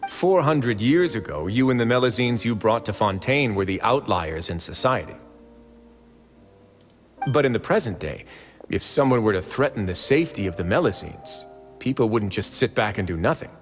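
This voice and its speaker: A man voicing a confident, easygoing prison warden in a video game, speaking in a relaxed, persuasive, assured way. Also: fairly low pitch, moderate pace, long reverb tail, soft background music, lightly acted.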